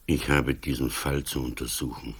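An actor in a radio play as an ailing, stubborn old police commissioner, husky, terse and grave. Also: male voice, very deep voice, fairly even intonation, moderate pace. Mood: grave, resolute. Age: older.

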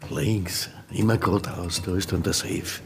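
An actor playing a sullen, reluctant captive who gives terse, grudging answers in a radio drama. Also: male voice, low-pitched, fairly even intonation, a little slow, gravelly, over music.

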